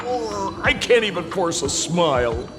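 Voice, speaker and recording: Exasperated young game sidekick dude, high pitched, wry, cant believe it, music behind. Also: male voice, sing-song, unhurried. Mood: incredulous, exasperated. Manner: incredulous, wry. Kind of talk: video game voice acting.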